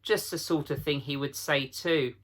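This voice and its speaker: A man's voice: fairly high pitch, moderate pace, a bit bright. A man voicing a troubled but responsible elder-brother schoolboy in a storybook reading, speaking with emphasis. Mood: recalling.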